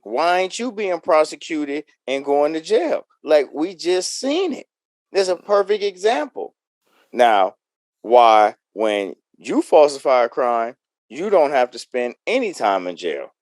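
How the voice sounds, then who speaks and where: A man's voice: fairly high pitch, big pitch swings, unhurried, darkish tone, no room sound, clean studio sound. A man with an American accent co-hosting a podcast, talking casually and bluntly and posing rhetorical questions. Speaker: podcast co-host. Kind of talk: casual opinionated panel talk.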